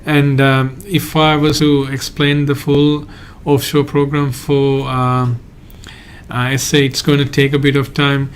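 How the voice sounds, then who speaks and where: A man from Sri Lanka, a migration agent hosting a live Q&A and answering viewer questions in a halting, hedging way. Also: mid-range voice, level tone, clean tone. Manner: halting, hedges often, reads out viewer questions.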